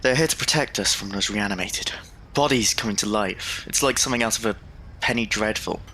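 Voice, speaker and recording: A man playing an earnest, headstrong young gentleman in a period audio drama, formal and protective in manner. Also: on the low side, brisk, slight background noise, rough recording. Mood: earnest, concerned.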